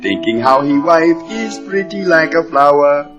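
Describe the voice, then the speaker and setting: A calypso-singing storyteller from a small hotel. He delivers rhymes at an unhurried pace, with guitar loud around his voice in an old radio recording. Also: fairly high pitch, lively intonation. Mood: genial, wry.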